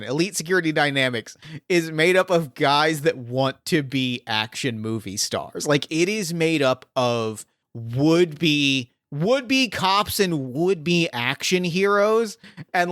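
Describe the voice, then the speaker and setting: A man with a fairly high, smooth voice narrates a tabletop game off the cuff. He thinks aloud in halting bursts, and the sound is dry and close. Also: a bit bright, dry recording. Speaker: game master.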